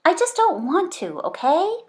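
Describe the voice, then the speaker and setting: A stubborn seven-year-old storybook girl, acted out by a woman reading aloud, blunt and defiant. Her pitch jumps around in a lively way as she digs her heels in. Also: a little slow, heavily acted. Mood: insistent. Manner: defiant, blunt, yelling when sarcastic. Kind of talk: children's storybook read-aloud.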